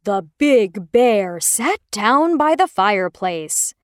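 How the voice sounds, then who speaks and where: A woman narrating a scripted children's fairy tale in an expressive, careful way. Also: medium pitch, slow, clear, resonant tone, no echo, clean studio sound. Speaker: storyteller.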